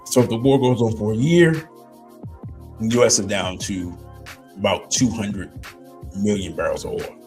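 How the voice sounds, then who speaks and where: Male show host, low voice, slow and halting, slightly hoarse, faint music behind. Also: a little mellow. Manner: slow, halting, with many ums and pauses. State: losing his voice. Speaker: livestream host. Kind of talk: casual commentary reading news aloud.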